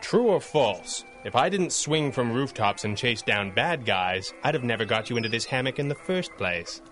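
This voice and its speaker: A man plays a droll, laid-back hero of a radio superhero serial. His pitch moves freely as he delivers dry, understated banter. Music plays behind him. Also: expressive, normal speed, a bit bright, lightly acted. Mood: wry. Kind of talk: 1930s-style radio drama acting.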